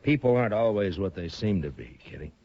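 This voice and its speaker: A frontier marshal who speaks with a low, measured voice. He is dry and firm. The voice comes through a muffled vintage radio broadcast. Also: big pitch swings. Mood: guarded. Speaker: lead character. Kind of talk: 1950s radio drama acting.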